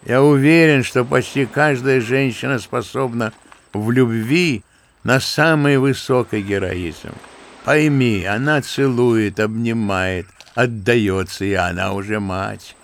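Old sentimental general for an audio drama, gravelly, fatherly and passionate. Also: mid-range voice, slow. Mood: musing fervent. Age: older. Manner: fervent, musing, fond and paternal. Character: old kindly sentimental general, grandfather figure. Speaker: actor in audio play. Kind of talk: theatrical radio play acting.

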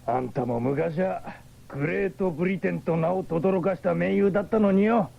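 A man's voice: high-pitched, moderate pace, gravelly, exaggerated voice. An actor playing a coarse, sneering male thug in a 1970s radio drama. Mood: scornful. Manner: coarse, bullying. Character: rough, sneering male thug and slave trader. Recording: dull old radio recording with music.

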